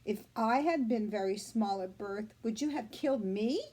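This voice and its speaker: A woman's voice: mid-range voice, normal speed, mellow, natural voice. A woman voicing an upset eight-year-old farm girl in a storybook, pleading and protesting emphatically.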